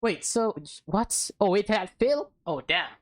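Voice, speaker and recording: A woman's voice: mid-range voice, expressive, unhurried. A woman reading a visual novel aloud as a let's player, informally, with casual asides and stumbles. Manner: informal, stumbles over words and comments on the story. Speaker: let's play host. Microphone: close mic. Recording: background music under the voice.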